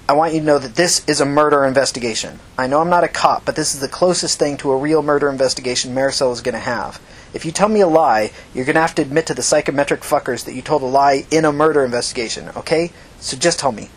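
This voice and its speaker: A tough woman amateur sleuth, read by a man, pressing and confrontational, with a husky edge. The voice sits fairly high for a man and has the hard, blunt delivery of an audiobook interrogation. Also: fast talker. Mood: stern, pressing. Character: tough, angry, foul-mouthed woman interrogating as amateur detective.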